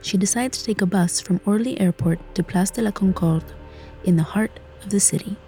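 Mellow woman narrating a story podcast. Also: medium pitch, brisk. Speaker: podcast host. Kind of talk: scripted narration. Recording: close studio with faint music.

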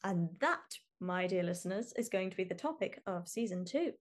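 A woman's voice: fairly low pitch, expressive, moderate pace. A woman hosting a podcast interview, talking in an earnest, enthusiastic way. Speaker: podcast host.

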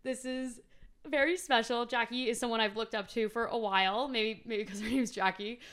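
Bubbly young host from Boston, slightly high voice, laughs a lot. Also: female voice, steady tone, fairly bright tone. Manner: bubbly and laughing, quick reactions. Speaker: podcast host. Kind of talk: casual interview chat.

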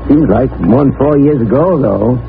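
Folksy rural old-timer, tinny old radio. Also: male voice, mid-range voice, expressive, normal speed, creaky, slight background noise. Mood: reminiscing. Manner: plain-spoken, rustic country talk. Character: folksy old rural stable keeper and deputy. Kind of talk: 1940s radio acting. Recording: tinny old radio recording with organ music.

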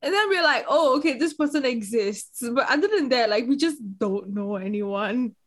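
A woman's voice: a little high, lively intonation, normal speed. A young woman from Singapore, hosting a podcast in casual, giggly chat full of fillers. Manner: chatty, laughs often, lots of "like" fillers. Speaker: podcast host.

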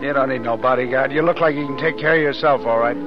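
An actor playing a gruff, cocky working-class American tough guy in a blunt, slangy 1930s film style. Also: medium pitch, fast, lightly acted. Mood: cocky, guarded. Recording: dull old film soundtrack, music behind.

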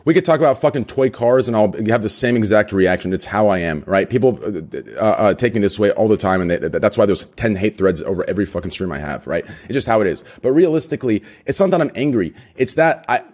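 A man streamer arguing in a heated group discussion, passionate and excitable, pressing his points emphatically with frequent restarts. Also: lowish voice, fast talker, hardly any pauses.

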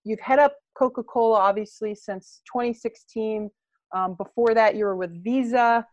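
A woman's voice: a little high, moderate pace, a little mellow, no room sound, lo-fi sound. A woman hosting a podcast interview, chatty and loose, leaning on fillers as she frames her questions. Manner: chatty, many fillers and "obviously". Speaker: podcast host.

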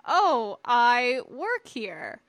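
A woman's voice: fairly high pitch, wildly swooping pitch, drawn-out words, a bit bright, dry recording, exaggerated voice. A woman voicing a thin, timid male humanoid, a nervous and pleading cringer in a story reading. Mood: nervous, evasive.

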